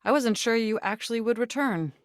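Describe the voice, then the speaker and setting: A woman's voice: a little high, brisk, dry recording, studio quality, natural voice. A woman voicing a nerdy, eager, flirtatious computer come to life in a video game, speaking in formal, wordy, technical phrases with an earnest, easily flustered manner. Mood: formal, reserved. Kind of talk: scripted video game voice acting.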